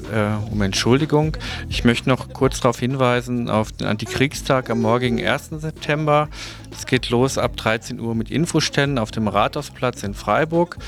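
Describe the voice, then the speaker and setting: A man hosting a radio news programme, reading out announcements and signing off. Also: mid-range voice. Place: radio studio.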